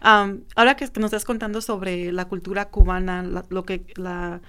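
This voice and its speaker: A woman's voice: on the low side, fairly even intonation, normal speed, a bit bright, smooth. A woman moderating a group discussion. She asks questions hesitantly, with many filler sounds.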